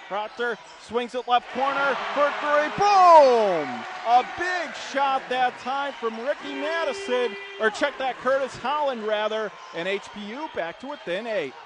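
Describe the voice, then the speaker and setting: A man calling live college basketball play-by-play on the radio with excitable, projected delivery. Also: extremely high pitch, measured pace. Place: courtside in an indoor college arena. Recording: loud arena noise behind the voice. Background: gym noise, bouncing basketballs.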